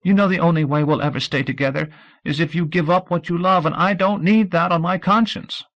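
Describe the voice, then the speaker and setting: A man's voice: takes it slowly, gravelly, slightly rough, lo-fi sound, exaggerated voice. A man doing a weeping, desperate teenage girl. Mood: anguished. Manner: pleading, high and strained. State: crying.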